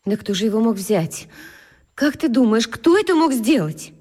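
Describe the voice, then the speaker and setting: An actress playing a worried, well-meaning schoolteacher, agitated and expressive, in a children's radio play. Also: female voice, a little high, normal speed, husky, long reverb tail. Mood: upset, agitated.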